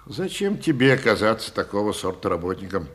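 A man acting a senior official in an archive stage recording, speaking low and firm in a lecturing way. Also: very animated, brisk, a bit bright. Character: male senior Soviet official, commanding and blunt. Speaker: stage actor in an archive theatre scene.

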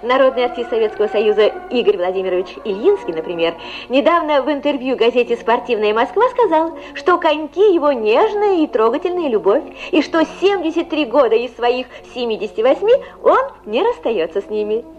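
A woman presenting a radio program, reading a script and speaking directly to listeners with expressive delivery. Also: on the high side, brisk, faint music behind. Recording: dull old radio recording.

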